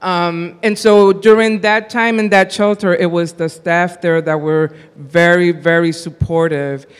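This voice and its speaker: Woman panelist, plain-spoken and wry, flat smooth delivery, reverberant hall. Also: fairly low pitch, measured pace. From Puerto Rico. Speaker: panelist, candidate for office. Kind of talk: personal testimony on a panel. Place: large hall, panel on stage.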